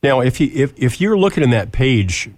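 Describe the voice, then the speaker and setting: A man's voice: medium pitch, big pitch swings, normal speed, darkish tone. A man hosting a radio show with casual banter and folksy, playful phrasing, on a studio microphone.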